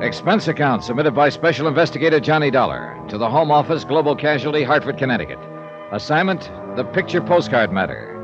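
An actor playing a wry, brisk American insurance investigator in clipped, hardboiled 1950s radio detective style. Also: male voice, mid-range voice, big pitch swings, close to an everyday voice. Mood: crisp narration. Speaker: lead actor and narrator. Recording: dull 1950s radio recording with music.

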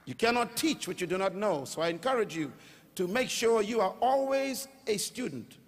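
An older Bahamian man preaches from a large stage into a handheld mic. His voice sits high and rises to shouts as he calls on the crowd to answer. A long reverb from the hall trails each phrase. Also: animated, moderate pace. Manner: preaching with call-and-response, rising to shouts. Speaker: pastor. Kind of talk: sermon.

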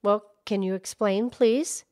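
A woman voicing a calm, sensible cow puppet, speaking in a quiet, matter-of-fact way in a children's puppet show. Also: mid-range voice, takes it slowly, natural voice. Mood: calm, polite.

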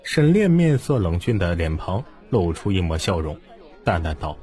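A man narrates an audiobook in a low, markedly rough voice. His delivery is dramatic and scripted, with animated pitch movement and soft music behind it. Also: normal speed.

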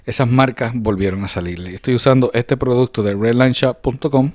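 A man narrating a casual hands-on demonstration as a channel host, often halting to search for words. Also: mid-range voice, a little mellow, no room sound, studio quality. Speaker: host of a diecast restoration channel. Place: indoors at a hobby table.

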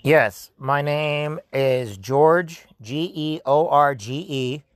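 A man's voice: on the high side, extremely slow, no room sound. A man baiting a scam caller on a speakerphone call, in a taunting, mocking way. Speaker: scam baiter. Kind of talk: prank phone call. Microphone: phone on speaker.

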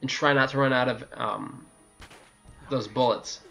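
A man's voice: medium pitch, fairly even intonation, measured pace, vocal fry, bright, forceful tone, soft background music. A man giving a casual, sweary, exasperated video game walkthrough commentary.

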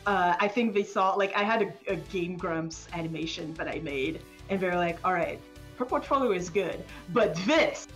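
A woman's voice: fairly low pitch, normal speed, faint music behind. A woman chatting casually as a guest, with a giggly, self-deprecating and wry way of talking. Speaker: game developer and animator, guest. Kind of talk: casual conversational discussion.

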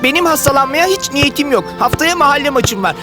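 A confident, sporty young boy for a cartoon. The voice is high, cheerful and sure of itself, with music clearly underneath. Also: lively intonation, fairly quick. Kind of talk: children's cartoon acting. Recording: music behind the voice.

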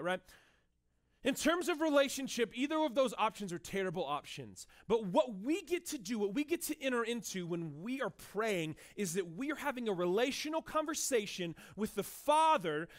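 A man in his thirties, a pastor, giving a conversational, animated sermon to his church, tossing in quick "right?" asides. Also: very high-pitched. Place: church stage with band gear.

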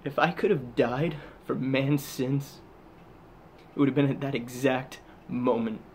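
A man performing a dramatic monologue as a haunted, guilt-ridden soldier back from war, halting and intense, with heavy pauses. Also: medium pitch, steady tone, darkish tone, a little hiss or hum, lightly acted. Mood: anguished, disillusioned.

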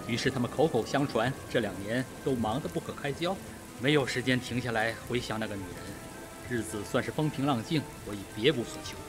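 A man's voice: mid-range voice, a little fast, music in the background, lightly acted. A man playing an overwrought, guilt-ridden doctor in a Mandarin radio mystery, fretful in manner. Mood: haunted, confessional. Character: panicky, guilt-ridden male doctor, a reformed drunkard.

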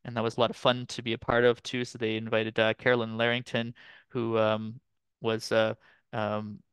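A man from Canada in his thirties giving a relaxed, chatty academic presentation over a video call, with frequent fillers. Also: lowish voice, moderate pace, smooth, no echo. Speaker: guest lecturer. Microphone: computer mic on video call.

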